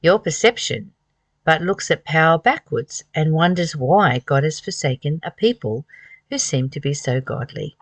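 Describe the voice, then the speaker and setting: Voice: mid-range voice, expressive, normal speed, no room sound. A host reading aloud from a spiritual book and commenting on it, earnest and emphatic, with repeated phrases and rhetorical questions.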